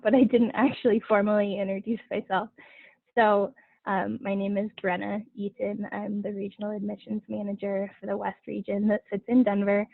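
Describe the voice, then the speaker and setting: Flat, gravelly-voiced woman moderating a panel. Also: medium pitch, dry recording. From Colorado, USA. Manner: even-toned, organized, explains the plan. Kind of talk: hosting a video-call panel. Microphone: video call. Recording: tinny phone-like video call audio.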